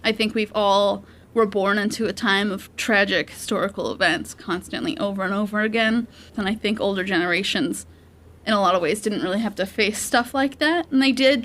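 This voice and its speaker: A woman's voice: mid-range voice, brisk, fairly bright tone, a little hiss or hum. A woman in her twenties giving casual answers in an interview. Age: 20s.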